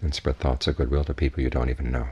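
A man teaching in a guided meditation, giving measured, unhurried instructions with long pauses. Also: extremely low pitch, level tone, a little mellow, muffled recording, a little hiss or hum. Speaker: Buddhist monk, meditation teacher.

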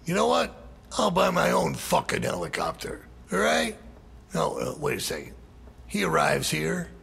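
A man's voice: fairly high pitch, sing-song, normal speed, vocal fry, a bit bright, slightly rough, heavily acted. A man playing a middle-aged, hot-tempered, foul-mouthed crime boss in a video game, exasperated and profane. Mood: irritated. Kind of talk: cinematic game dialogue.

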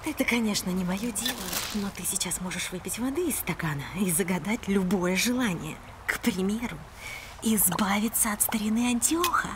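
An actress playing a cool, sly young woman among pirates in an animated film, dryly teasing over music. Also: medium pitch, moderate pace, background noise, lightly acted.

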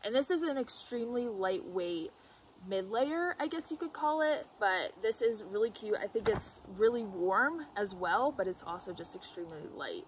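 A young woman talks through her hiking gear in a relaxed, chatty way, dropping "like" and "um" as she goes. She sits outside in a forest clearing, recorded from a camera some distance away. A faint hiss of outdoor noise runs under her voice. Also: mid-range voice, normal speed. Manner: chatty, with frequent "like" and "um" fillers. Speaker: hiker and vlogger. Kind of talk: casual gear walkthrough.

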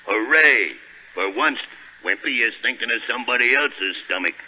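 An actor playing a gruff, good-hearted sailor with a gravelly voice and salty, ungrammatical talk in 1930s radio comedy. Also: male voice, mid-range voice, expressive, normal speed, a little hiss or hum, lightly acted. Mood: proud, hearty. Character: gruff, good-hearted, muscle-bound old-time sailor man. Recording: muffled old 1930s radio recording.

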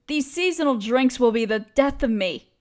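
A woman playing a cute, chatty young barista in an audio roleplay, expressive and girlish, playfully teasing a coworker friend. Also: high voice, normal speed. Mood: weary, teasing. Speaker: voice actress. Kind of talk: scripted one-sided audio roleplay, casual chat.

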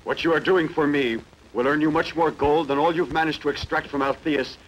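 A man's voice: fairly high pitch, steady tone, a little fast, slight background noise, lightly acted, low-quality recording. A man playing a sly, power-hungry lord, speaking formally in a dubbed film. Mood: smug. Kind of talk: dubbed costume-adventure film acting, formal.

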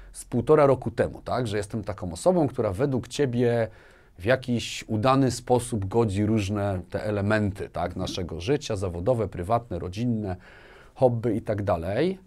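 A man's voice: mid-range voice, a little mellow. A man in his forties talking conversationally as an interview guest, telling personal anecdotes and often adding tag questions. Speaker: interview guest, business manager. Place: small furnished studio, indoors. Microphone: lapel mic.

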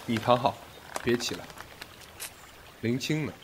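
A man's voice: mid-range voice, expressive, unhurried, background noise, natural voice. Man playing an imperious ancient sect master. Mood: gentle concern. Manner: imperious, formal. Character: proud, commanding male sect master. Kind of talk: period audio drama acting.

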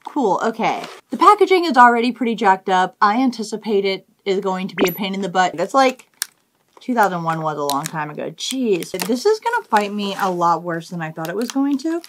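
A woman's voice: medium pitch, very animated, fairly quick, slight background noise. A woman in her thirties chatting casually and enthusiastically to camera in slangy, informal language as a hobby video host. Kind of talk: casual vlog chat to camera. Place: small indoor hobby studio room.

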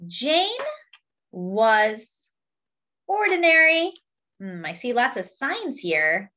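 Unhurried, higher-voiced woman reading to children. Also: dull audio, slight background noise. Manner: expressive, exclaiming, with pauses for emphasis. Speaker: children's storybook reader. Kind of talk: read-aloud with commentary on pictures for young children.

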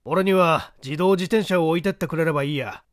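A man voicing a composed, commanding gang leader of gentleman thieves in a storybook reading, curt and self-assured. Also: fairly high pitch, brisk, no echo, studio quality, close to an everyday voice.

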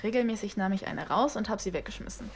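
A woman's voice: medium pitch, fast, slight background noise, natural voice. Feisty young woman played by an actress, slangy and indignant, mid-range voice, naturalistic audio drama. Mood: defiant. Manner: cheeky, indignant, colloquial.

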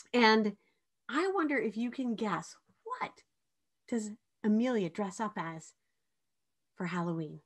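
A middle-aged woman, a children's author, speaking to camera in a friendly introduction with a trivia question. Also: fairly high pitch. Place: indoor room with bookshelves.

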